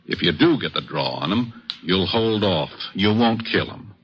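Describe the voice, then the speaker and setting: An actor playing a blunt, insistent American frontier sheriff in an old-time western radio drama, protective and exasperated. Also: male voice, sits low, animated, moderate pace. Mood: urgent warning. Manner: blunt, emphatic, urgent. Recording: dull vintage radio recording.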